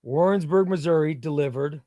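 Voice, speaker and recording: A man's voice: on the high side, normal speed, warm tone, no echo, clean studio sound. Laid-back man chatting on a livestream. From Illinois, US. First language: Spanish. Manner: rambling, offhand, swears casually. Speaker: comic book seller and livestream host. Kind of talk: casual livestream chat, reading viewer comments.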